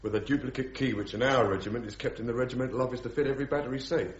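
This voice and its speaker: A stolid British soldier played by a male radio actor, answering superiors with clipped deference. He speaks quickly at an ordinary male pitch, on a dull radio drama recording. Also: steady tone, roomy sound, natural voice. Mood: stolid, respectful. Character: stolid, dutiful army bombardier testifying as witness. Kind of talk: full-cast radio drama acting.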